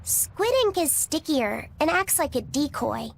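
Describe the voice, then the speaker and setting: A woman's voice: high-pitched, lively intonation, measured pace, creaky, heavily acted. A woman playing an earnest, curious, bookish young girl in an anime game dub, polite and inquisitive. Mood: earnest explaining.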